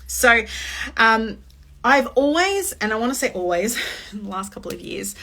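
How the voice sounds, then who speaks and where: A woman in her late thirties hosting a chatty, expressive motivational live-stream talk. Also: on the high side, normal speed. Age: 30s. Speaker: host.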